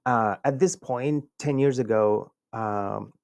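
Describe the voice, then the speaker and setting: A man's voice: medium pitch, gravelly, dry recording. Egyptian guy on a podcast, chatty, laughs a lot mid-story, dead dry mic. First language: Egyptian Arabic. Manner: animated, chuckles often, quick bursts. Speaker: podcast guest, communication researcher. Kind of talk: conversational storytelling.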